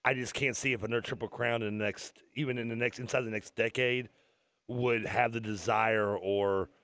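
A man's voice: fairly low pitch, fairly even intonation, normal speed, a bit bright, no room sound, studio quality. A man co-hosting a radio sports talk show, joking and rambling with frequent stumbles and restarts.

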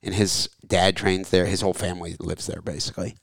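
A man hosting a casual podcast chat. His voice is a little hoarse, and he talks in a loose, rambling way with casual swearing. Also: low-pitched, little pitch movement, fairly quick, no room sound. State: slightly hoarse, lost some voice. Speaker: podcast host.

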